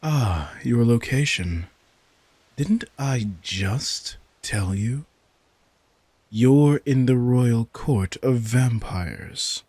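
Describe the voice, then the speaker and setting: A man's voice: mid-range voice, lively intonation, very slow, darkish tone, slightly rough, no room sound, heavily acted. A man playing a cocky, commanding vampire king in an audio roleplay, with a smug, casually menacing drawl. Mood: smug welcome. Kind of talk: immersive roleplay audio drama monologue.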